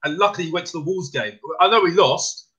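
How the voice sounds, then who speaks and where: A man speaking as a guest in a casual football debate, loud and emphatic, who keeps repeating "you know what I mean". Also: sits high, fast talker, muffled recording.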